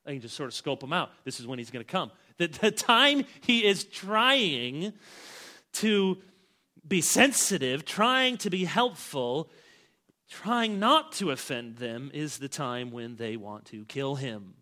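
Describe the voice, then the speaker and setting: Middle-aged male pastor, bright and forceful, emphatic sermon delivery with sharp shifts in volume. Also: on the high side, big pitch swings, a little slow. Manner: emphatic, with big swings in loudness. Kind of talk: expository sermon.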